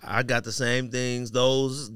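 A man chatting casually as a podcast host, in slangy, animated banter. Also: medium pitch, takes it slowly. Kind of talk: casual chat.

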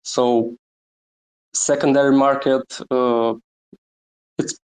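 A man from Romania, a guest on a live audio chat, talking about his project with many pauses, fillers and small laughs. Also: medium pitch, little pitch movement, darkish tone, rough recording. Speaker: guest NFT creator. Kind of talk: casual chat on a Twitter space.

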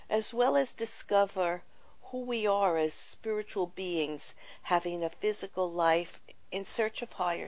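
Woman talk-show host, slow and gentle, reflective spiritual tone, dry close sound. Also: medium pitch, no room sound, low-quality recording.

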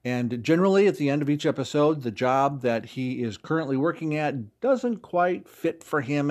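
Medium-pitched man chatting as interview guest. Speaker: interview guest, audio drama producer. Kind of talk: conversational interview explanation.

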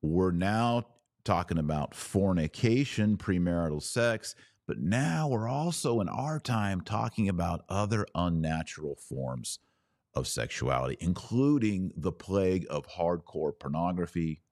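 A man hosting a religious podcast, preaching in an earnest, emphatic way with deliberate, broken-up phrasing. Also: low voice, lively intonation, darkish tone, no echo, studio quality. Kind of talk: preachy video commentary.

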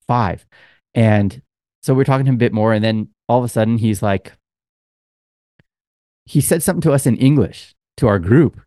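A man's voice: fairly low pitch, warm tone, no echo, clean studio sound. A man co-hosting a podcast, telling a long, rambling story in casual chat with lots of fillers. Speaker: podcast co-host.

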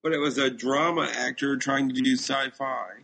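A man's voice: medium pitch, steady tone, normal speed, vocal fry, bright, forceful tone, poor audio. A man co-hosting a casual podcast, giving opinions in a blunt, dismissive way. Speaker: podcast co-host. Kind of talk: casual opinionated review chat.

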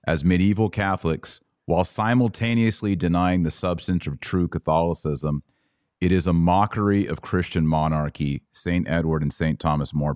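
A low-pitched American man speaks as a podcast host in close, dry sound. He debates conversationally, stressing his points and cutting in with repeated phrases. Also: a little mellow, dry recording. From United States. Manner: emphatic, interjecting, repeats phrases.

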